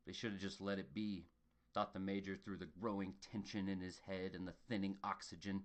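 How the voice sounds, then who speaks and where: A man's voice: deep voice, level tone, a little fast. A man giving a dramatic scripted narration of a horror story.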